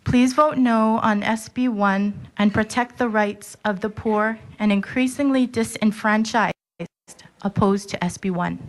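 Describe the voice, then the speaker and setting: A woman's voice: medium pitch, unhurried, rough recording. Earnest woman in her thirties from Hawaii. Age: 30s. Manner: steady, earnest, reads prepared statement. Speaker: member of the public testifying. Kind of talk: formal public testimony.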